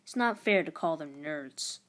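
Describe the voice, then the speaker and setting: A woman voicing a thoughtful, earnest middle-school boy prince in a storybook, with a questioning, measured manner. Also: low voice, expressive, fairly bright tone. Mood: concerned.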